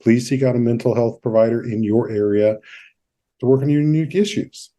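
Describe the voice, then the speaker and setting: A man's voice: medium pitch, fairly quick, warm tone, a little hiss or hum. A man hosting a podcast, introducing and interviewing a guest, stumbling a little over his words as he reads. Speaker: podcast host.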